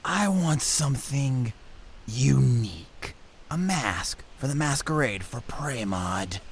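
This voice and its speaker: A man's voice: medium pitch, lively intonation, slow, slight background noise. An actor playing a curious, earnest young man of mixed blood in a fantasy audio drama. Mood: curious, reserved.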